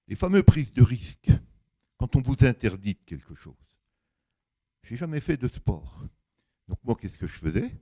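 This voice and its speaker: Older guy w big low mellow voice telling stories to an audience, very up and down, chatty slangy. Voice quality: big deep voice. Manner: animated storytelling, colloquial, emphatic, acts out reported dialogue. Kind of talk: anecdotal public talk to an audience.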